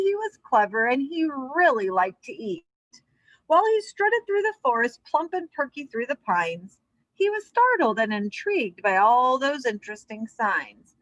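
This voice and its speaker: A woman reading a children's story aloud, expressive and speaking to young listeners as a storyteller. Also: high-pitched, moderate pace, no room sound, low-quality recording. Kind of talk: animated children's read-aloud.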